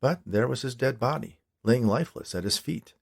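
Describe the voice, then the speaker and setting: A man's voice: medium pitch, expressive, fairly quick, gravelly, husky. A man reading a scripted story as its narrator.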